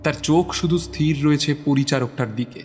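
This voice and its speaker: A man's voice: a little high, brisk, darkish tone, a little hiss or hum. A man narrating a horror audio story from a script in a dramatic, suspenseful, theatrical manner. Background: music.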